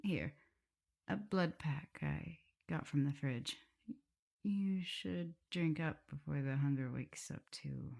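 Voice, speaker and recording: A woman playing an affectionate, teasing vampire mistress with a prim teacherly side, in a soft, intimate, often whispered ASMR roleplay. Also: deep voice, slow, vocal fry, exaggerated voice. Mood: fond, amused. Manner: tender, playful, hesitant murmuring.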